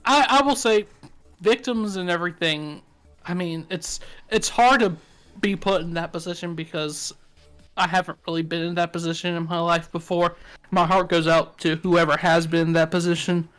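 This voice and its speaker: A man's voice: a little high, fairly even intonation, moderate pace, fairly bright tone, clean tone, soft background music. A man with a Southern US accent, co-hosting a podcast in frank, joking casual talk. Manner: joking, frank, swears often. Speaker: podcast co-host. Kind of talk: casual chat.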